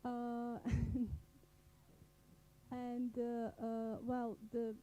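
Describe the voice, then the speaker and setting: A woman introducing herself as a program coordinator at a forum, speaking haltingly with many fillers. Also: fairly high pitch, completely flat delivery, dark tone, slight background noise, low-quality recording. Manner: many filler pauses, laughs at the end. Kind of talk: hesitant self-introduction at a forum.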